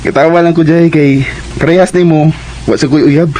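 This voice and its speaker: A man's voice: on the high side, fairly even intonation, normal speed, warm tone, slight background noise, close to an everyday voice. A man playing an eager, bashful young student suitor in a Cebuano radio drama, with a Cebuano Filipino accent. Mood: coy. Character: eager, bashful young male student courting his classmate.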